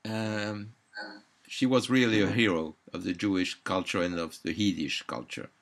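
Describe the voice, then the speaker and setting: A man's voice: sits low, moderate pace, fairly bright tone. A man interviewing a guest, giving brief and polite prompts. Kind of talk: interview questions.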